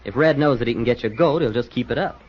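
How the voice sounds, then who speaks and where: An actor playing a sensible, helpful teenage cadet in an old-time radio serial, easygoing and reassuring. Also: male voice, medium pitch, fast talker, close to an everyday voice. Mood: cautioning. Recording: noisy, muffled old radio recording.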